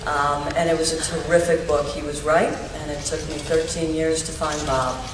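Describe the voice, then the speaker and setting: A woman's voice: sits low, level tone, normal speed. A woman telling an anecdote plainly as a film producer on a panel in a large cinema. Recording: echoey hall, distant mic, background noise.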